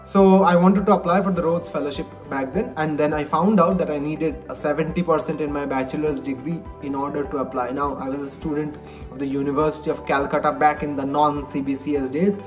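A man's voice: a little high, steady tone, fairly quick, over music. A young man in his twenties from India, a native Bengali speaker with an Indian English accent, earnestly explaining in a personal advice vlog from a study at home. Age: 20s. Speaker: vlogger, college lecturer. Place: home study lined with bookshelves, indoors. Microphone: webcam-style framing, head and shoulders.

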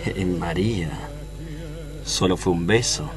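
A man's voice: low-pitched, slow pace. An actor playing a proud, lovesick tough guy in a tango radio play, with an Argentine accent and poetic, dramatic delivery. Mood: cocky. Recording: music behind the voice.

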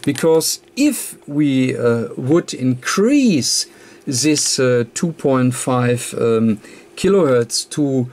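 Very slow, halting man explaining technical material. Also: medium pitch, animated, a little mellow. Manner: very halting, hesitant delivery with frequent long filled pauses. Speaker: tutorial presenter. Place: indoors at an electronics workbench.

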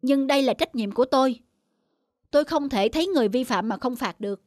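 A woman's voice: high-pitched, expressive, fast, a little mellow, no echo, clean studio sound. A woman voicing a dutiful young male cartoon policeman, formal and firm. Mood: stern, official. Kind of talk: cartoon dubbing. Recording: music under the dialogue.

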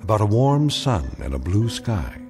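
An American man delivering scripted radio drama narration in a measured, portentous manner. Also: lowish voice, very animated, music in the background.

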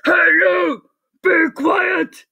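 Woman as a loud, blustering male school principal. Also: fairly high pitch, animated, slow, husky, full character voice. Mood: bossy yelling. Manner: barking, emphatic.

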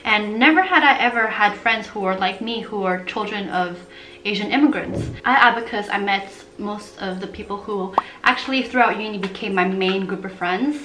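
A young woman from Portugal, talking casually to camera in an animated, chatty vlog style while gesturing with her hands. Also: medium pitch, moderate pace, roomy sound, slight background noise, low-quality recording. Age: young adult. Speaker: vlogger.

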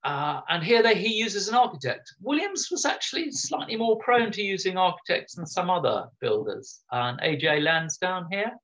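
A man's voice: high voice, sing-song, moderate pace, hardly any pauses, a bit bright. A man, giving an informal illustrated local history talk over a video call, chatty with frequent ums and restarts. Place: home room. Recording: dull lo-fi video call audio.

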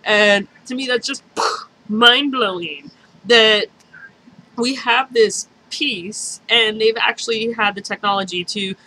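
A young adult woman chatting casually to the camera in an excitable, rambling way, recorded on a camera mic in a small room. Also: fairly high pitch, slow. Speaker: vlogger. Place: small bedroom, indoors. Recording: clearly noisy home camera recording.

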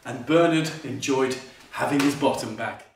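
A man's voice: mid-range voice, normal speed, soft background music. An older man reading a children's storybook aloud theatrically, with exclamations and playful asides. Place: indoor curtained stage. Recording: echoey hall, distant mic, faint noise.

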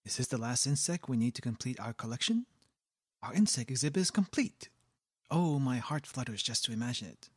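A man's voice: medium pitch, expressive, fairly quick, husky, no echo, clean studio sound. A man voicing a fussy, squeamish, scholarly owl curator from a video game, formal and fluttery. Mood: delighted. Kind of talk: video game character voice practice.

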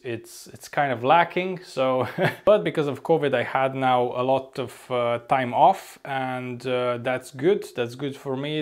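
Upbeat young man, slightly hoarse. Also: medium pitch. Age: young adult. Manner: chatty, upbeat, often says "ladies and gents". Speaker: vlogger, flight crew member. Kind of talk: casual vlog to camera. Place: apartment room, indoors. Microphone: lapel mic.

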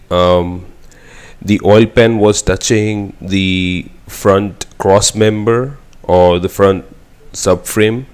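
A man from India talks slowly and steadily through his car project. His voice sits on the low side, and he explains things plainly with the odd filler. Parts of it sound like a phone recording in a garage workshop. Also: clear, resonant tone. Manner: slow, matter-of-fact walkthrough with fillers. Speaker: car build vlogger. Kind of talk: casual explanatory voice-over and on-site commentary.

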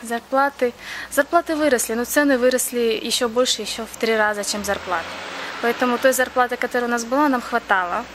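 A woman's voice: on the high side, a little fast, noisy background. A young woman from Crimea, earnestly answering interview questions in plain speech with frequent hesitations. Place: outdoors near a café.

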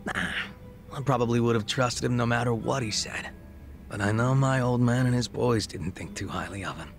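A man voicing a laid-back, wistful gang leader in his thirties in a video game, reflective, unhurried and casually profane, with a gravelly voice. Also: medium pitch, soft background music, natural voice. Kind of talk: video game cutscene dialogue acting.

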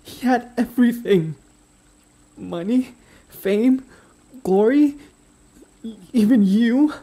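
Man acting as a slow, mellow, grieving best friend. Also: extremely high pitch, full character voice. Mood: anguished, angry. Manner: hesitant, halting, confiding. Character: gentle, grieving male best friend, timid and tender. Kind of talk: boyfriend ASMR audio roleplay, intimate dramatic acting. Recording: noticeable background ambient noise.

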